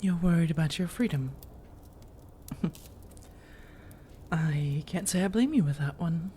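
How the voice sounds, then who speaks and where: A woman playing a flirtatious, coaxing female vampire in a soft-spoken ASMR roleplay, teasing and measured, with intimate pauses and breathy slips into whispers. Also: fairly low pitch, slight background noise, close to an everyday voice. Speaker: audio roleplay performer.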